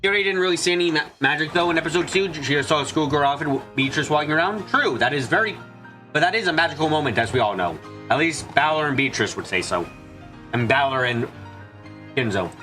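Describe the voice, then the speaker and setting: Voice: mid-range voice, fast, pushed and bright. A streamer doing a let's play, reading a visual novel's script aloud and stumbling over some words as they go. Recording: game music behind the voice. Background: game music.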